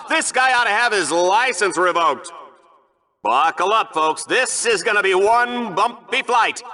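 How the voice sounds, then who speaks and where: An actor playing a slick, goofy, over-excited male sports announcer in a video game, showy and theatrical in his commentary. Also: sits high, heavily acted. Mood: hyped.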